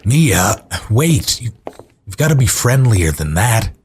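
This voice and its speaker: A man playing a gruff, uneasy husband in an audio drama, speaking haltingly in a husky voice. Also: fairly low pitch, very animated, slight background noise, exaggerated voice. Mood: urging.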